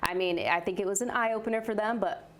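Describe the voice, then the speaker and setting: A woman's voice: medium pitch, fairly quick, a bit bright. An American woman giving conversational sports commentary as a show reporter.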